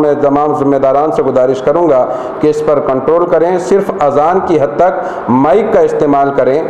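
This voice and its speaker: A man's voice: a little high, darkish tone. A man making a public statement and appeal as a community leader. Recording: echoey room, faint background noise.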